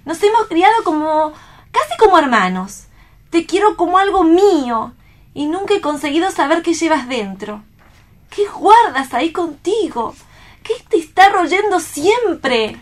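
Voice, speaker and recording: A woman's voice: very high voice, very animated, measured pace, full character voice. A woman acting in a radio play as an earnest, tender, troubled friend, pleading expressively. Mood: pleading concern.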